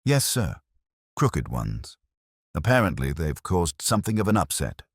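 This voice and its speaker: Deferential village sergeant, played by a male narrator: low voice, strained politeness, keeping composure. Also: big pitch swings, a little slow, breathy edge, dry recording, studio quality, exaggerated voice. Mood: suppressed amusement. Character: deferential police sergeant stifling his amusement.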